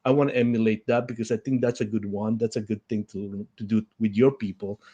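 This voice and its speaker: A man from the Philippines, a leadership coach answering interview questions in an earnest, measured way. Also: on the low side, flat delivery, mellow, breathy edge, lo-fi sound.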